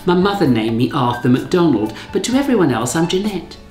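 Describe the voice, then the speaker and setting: A man voicing a camp, kindly English cabaret entertainer in his fifties for an audiobook, with crisp, theatrical, teasing delivery. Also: fairly high pitch, very animated, fairly quick, pitched above the performer's own voice. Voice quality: crisp, well presented. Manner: arch, teasing, theatrical, delicate. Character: camp, kindly, worldly fifty-ish male cabaret entertainer. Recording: roomy echo, faint music behind.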